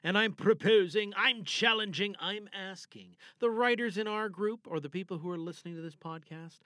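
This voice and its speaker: Male podcast host, casual and enthusiastic, slightly higher-pitched voice, emphatic delivery, very dry sound. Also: sharp tone, no echo.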